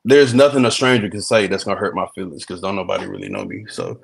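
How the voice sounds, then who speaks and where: Deep-voiced man on a relaxed video call. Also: quick pace, vocal fry, a crowd in the background, rough recording. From Texas. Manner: relaxed, laughs easily, repeats words at starts. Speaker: comedian and reality show guest. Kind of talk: casual video-call interview. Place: indoor room.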